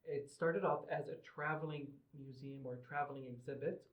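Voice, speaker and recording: Man, fairly high pitch, conversational, explanatory, interview guest, echoey room. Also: fairly even intonation, moderate pace. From Lebanon and Qatar. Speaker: podcast guest, museum representative. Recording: roomy, echoing sound.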